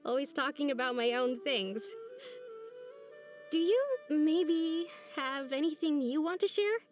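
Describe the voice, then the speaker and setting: A high, slow-paced young female voice with music behind it. She is a shy, earnest village girl from a game, self-conscious and hesitant, often trailing off. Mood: shy, hopeful. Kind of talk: video game voice acting. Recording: background music under the voice.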